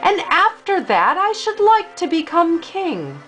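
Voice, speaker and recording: A woman's voice: extremely high pitch, huge pitch swings, unhurried, a bit bright, soft background music, pitched above the performer's own voice, heavily acted. A woman reading the part of a poor, carefree wounded soldier in a storybook, light and offhand. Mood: jesting.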